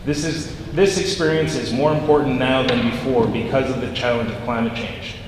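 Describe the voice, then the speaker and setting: A man's voice: medium pitch, fairly even intonation. A man reading an earnest prepared statement at public comment. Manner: earnest, measured, read aloud. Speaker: resident speaking at public comment. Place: large council chamber. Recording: echoey hall with noise.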